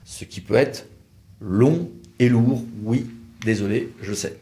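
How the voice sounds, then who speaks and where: A man's voice: mid-range voice, takes it slowly, dark tone. A man with an accent from France presents a legal explainer as a lawyer, in a didactic, conversational and emphatic manner. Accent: French from France. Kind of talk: educational legal explainer to camera.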